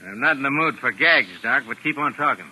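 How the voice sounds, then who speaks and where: A man's voice: medium pitch, expressive, brisk, bright, forceful tone, slight background noise, rough recording. An actor playing a ruthless, bossy American gangster in 1940s radio drama, talking in menacing tough-guy slang. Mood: wary, considering. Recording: old 1940s radio broadcast recording.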